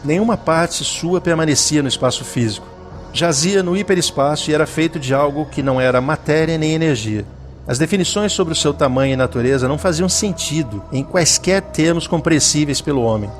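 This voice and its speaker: Narrador brasileiro solene, voz um pouco aguda. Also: male voice, on the high side, brisk, faint music behind. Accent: Brazilian. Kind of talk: scripted dramatic story narration.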